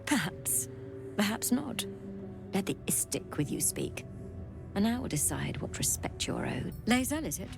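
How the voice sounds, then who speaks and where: A woman's voice: medium pitch, sing-song, measured pace, vocal fry, music in the background. A woman voicing a cold, haughty alien doctor in a fantasy game, dry, precise and condescending. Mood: curt, disdainful.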